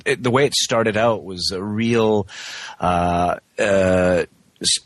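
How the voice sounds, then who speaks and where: A man's voice: lowish voice, slow pace, dry recording. A man calling in for an interview, talking in a friendly way with many restarts. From Canada, West Coast. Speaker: actor, interview guest. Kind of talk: casual interview over the phone. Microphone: remote call.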